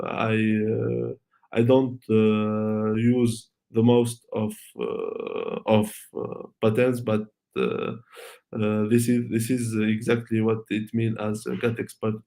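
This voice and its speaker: A young man whose first language is Arabic walks through a technical talk in a low, gravelly voice. He speaks haltingly and fills the gaps with many "uh"s and restarts. His pitch barely moves. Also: mellow. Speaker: conference speaker. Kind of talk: online tech talk with Q&A.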